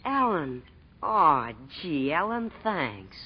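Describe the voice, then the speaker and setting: An eager, swooping boyish teenager. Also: male voice, a little high, sing-song, takes it slowly, loud music behind the voice. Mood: eager, grateful. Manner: gushing, stammering, folksy exclamations. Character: eager, boyish teenage brother, sweet and earnest. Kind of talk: 1940s radio drama acting. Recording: dull, noisy 1940s radio recording, clicks.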